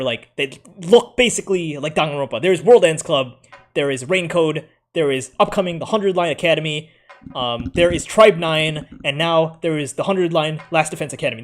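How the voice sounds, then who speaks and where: A man with an American accent, raised in the Philippines, casually ranking anime in a rambling way, full of fillers and self-corrections. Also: a little high. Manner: laughs often while talking.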